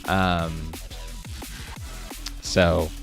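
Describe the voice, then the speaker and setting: Man, very low voice, hesitant rambling, casual stream chat, faint music behind. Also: level tone, drawn-out words. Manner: rambling, hesitant, many fillers and restarts. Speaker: indie animator streaming his work. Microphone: webcam setup at a desk.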